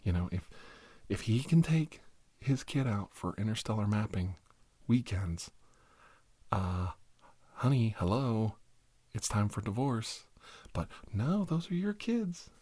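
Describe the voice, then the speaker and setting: A man with a deep, husky voice rambles through a comic ASMR monologue. He plays an exasperated doctor, talking conversationally and circling back to repeat himself. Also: big pitch swings, slow, dark tone, no echo. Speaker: performer playing a doctor in character.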